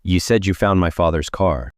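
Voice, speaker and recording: A man's voice: low-pitched, normal speed, no echo, studio quality, lightly acted. An actor playing a terse, questioning man in an audio drama. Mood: terse, probing. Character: terse man seeking his late father's car.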